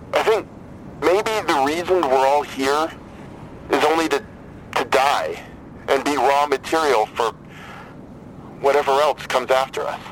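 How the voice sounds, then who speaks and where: Halting, despairing man in faint rumble. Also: a bit bright, rough recording. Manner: halting, hesitant, brooding, stammers and trails off. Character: troubled, despairing man phoning for help. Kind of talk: full-cast audio drama dialogue.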